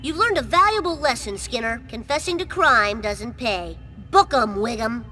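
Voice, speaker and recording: Cheeky cartoon boy, childlike rasp, bright punchy tone, slangy, mischievous, music underneath. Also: a little high, expressive, normal speed. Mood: confident. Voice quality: scratchy, childlike. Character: brash, mischievous cartoon boy, cocky prankster. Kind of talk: animated game cutscene dialogue.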